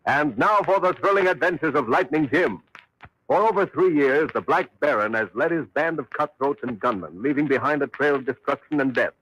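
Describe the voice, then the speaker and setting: A man's voice: fairly high pitch, expressive, fairly quick, fairly bright tone, husky, low-quality recording. A man with an American accent delivering dramatic scripted narration as an old-time radio announcer.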